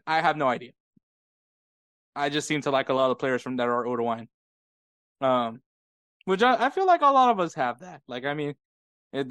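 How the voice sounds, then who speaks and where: Laughing, animated American man. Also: a little high, dry recording, clean studio sound. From United States. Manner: laughing often, animated storytelling. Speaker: podcast co-host. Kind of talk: casual chat.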